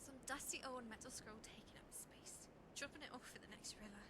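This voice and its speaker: A woman voicing a young, misunderstood and lost half-elf witch in a video game, with a breathy, whispery voice. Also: on the high side, brisk, very noisy, close to an everyday voice. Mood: whimsical. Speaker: voiced game follower character. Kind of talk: video game character acting. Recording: loud music over the voice.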